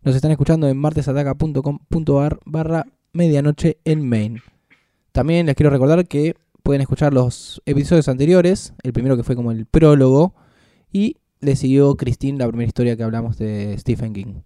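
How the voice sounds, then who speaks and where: A man with an Argentine Spanish accent, co-hosting a casual podcast and telling anecdotes in a lively, joking way. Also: mid-range voice, a little fast, no room sound, studio quality. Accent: Rioplatense Spanish. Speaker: podcast co-host.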